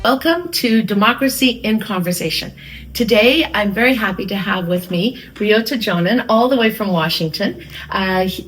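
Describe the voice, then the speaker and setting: A woman's voice: mid-range voice, low-quality recording. A woman hosting an interview, who asks her questions in a searching way and restarts them as she goes. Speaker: host.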